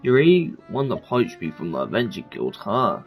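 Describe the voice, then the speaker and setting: A man's voice: fairly low pitch, huge pitch swings, moderate pace, phone-quality sound, faint music behind. A man casually commenting while he plays a game, rambling with plenty of fillers. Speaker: streamer playing a game.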